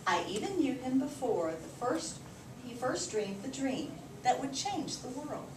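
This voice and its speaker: In a roomy, noisy recording, a woman reads a children's book aloud from a little way off the mic. Her voice swings widely in pitch as she tells the story with clear emphasis for young listeners. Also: sing-song, a little slow, recorded from a distance. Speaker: read-aloud teacher. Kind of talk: expressive picture book read-aloud.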